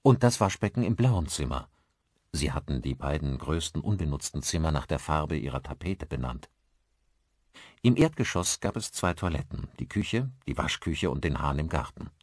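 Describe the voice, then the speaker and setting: A man's voice: extremely low pitch, expressive, normal speed, a little mellow, no echo, clean studio sound. A man narrating a suspense novel as a scripted audiobook.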